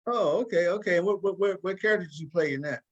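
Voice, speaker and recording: Higher-voiced male talk show host. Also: quick pace, dull audio. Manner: hesitant, repeats words and phrases. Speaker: talk show host interviewing a play's cast. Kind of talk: casual interview.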